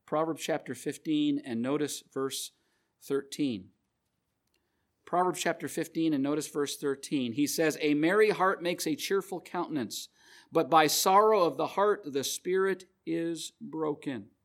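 Male preacher, earnest and measured, slightly higher voice. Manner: earnest, repeats scripture references, measured emphasis. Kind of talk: sermon.

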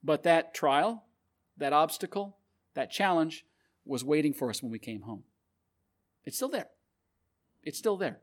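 A man preaching a sermon, earnestly repeating scripture references and stressing key phrases. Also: fairly high pitch.

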